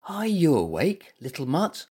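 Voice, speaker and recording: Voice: medium pitch, huge pitch swings, measured pace, warm tone, breathy edge, voice pushed up, exaggerated voice. A storyteller voicing a polite, anxious toy zebra in a children's story. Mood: concerned.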